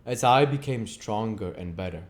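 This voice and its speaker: A man's voice: fairly low pitch, lively intonation, moderate pace. A man reading a classic novel aloud as an amateur audiobook narrator.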